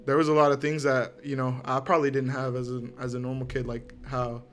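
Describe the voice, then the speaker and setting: A man's voice: mid-range voice, flat delivery, fast talker, slight background noise. A young man of about twenty co-hosting a podcast in an informal, slangy, conversational way. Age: 20s. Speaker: podcast co-host. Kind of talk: casual chat.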